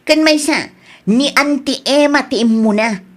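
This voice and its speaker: A woman playing an earnest, expressive family mother in a radio drama, with an Ilocano accent. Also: sits high, a little slow. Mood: exasperated.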